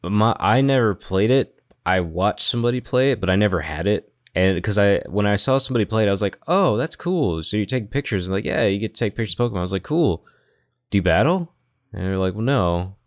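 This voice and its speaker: A man with a low voice talks casually on a gaming podcast. He is chatty and relaxed in his phrasing, like a co-host among friends. The sound is dry, with very little room. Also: brisk, dry recording.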